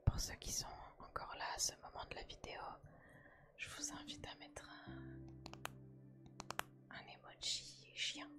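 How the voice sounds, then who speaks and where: A woman hosts an ASMR chat entirely in a soft whisper. She takes her time, leaving her phrases slow and hushed. Her manner is gentle and reassuring, as if encouraging one listener. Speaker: ASMR video host.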